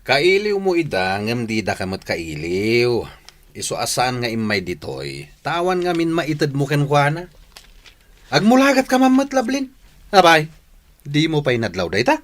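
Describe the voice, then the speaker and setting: A man's voice: medium pitch, huge pitch swings, a little slow, a bit bright. A man playing a lazy, jealous village husband in a radio drama, with a scolding, demanding manner. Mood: resentful, blunt. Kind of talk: Ilocano radio drama acting.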